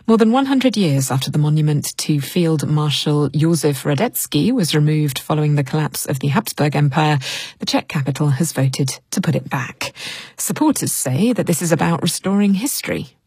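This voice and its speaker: A female news presenter speaks in a very low, deep register with a strongly breathy, airy texture. Her voice is recorded dry and close, with no room sound. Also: darkish tone, no room sound. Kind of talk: news anchor introduction.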